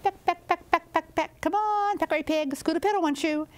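A woman's voice: extremely high pitch, fairly quick, pitched above the performer's own voice. A woman voicing a small male chicken servant of a forest spirit, chirpy and eager. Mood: coaxing.

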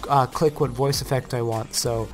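A man with a middling pitch hosts a casual how-to video. Faint music plays behind his voice. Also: fairly even intonation, normal speed. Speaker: video tutorial host. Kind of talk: casual software tutorial.

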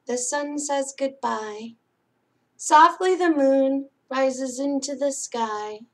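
Slow, high-voiced woman hosting children's story time. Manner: gentle, singsong, addressing young children. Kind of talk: children's picture-book read-aloud. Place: small indoor space hung with curtains and string lights.

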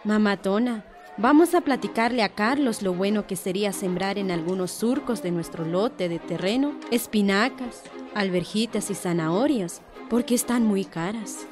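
A woman's voice: mid-range voice, normal speed, hardly any pauses, over music, lightly acted. An actress playing an earnest, respectful young village mother in a radio soap, with a Guatemalan accent. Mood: hopeful. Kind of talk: radio soap opera acting.

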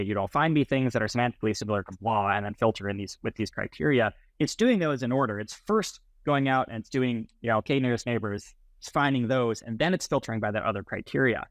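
A man's voice: mid-range voice, dry recording. A middle-aged man explaining technical matters as an interview guest on a video call. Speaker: interview guest, software founder. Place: small plain room indoors, video call. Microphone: earbuds on a video call.

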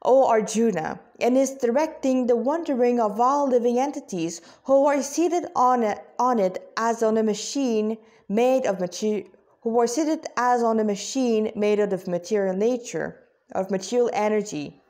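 A woman's voice: a little high, moderate pace, darkish tone, close to an everyday voice. A woman voicing a devout, wise warrior mother with an earnest, instructive way of talking in a read-aloud story. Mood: solemn, instructive.

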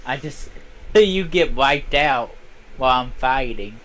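A man's voice: medium pitch, expressive, unhurried, fairly bright tone. A man chatting casually and informally as a co-op partner in a video game. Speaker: gamer, co-op partner. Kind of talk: casual gaming chat. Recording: clearly noisy home game recording.

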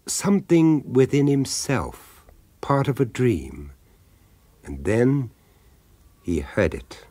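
An actor narrating a radio horror drama as a grave, ominous storyteller, with deliberate, suspenseful pauses. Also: male voice, mid-range voice, a little mellow, dry recording.

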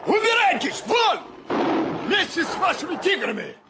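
An actor playing a fussy, excitable ship's captain and exasperated uncle in a radio play, scolding and stammering when agitated. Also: male voice, extremely high pitch, moderate pace, gravelly, heavy background noise, full character voice. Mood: furious. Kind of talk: Russian radio play acting.

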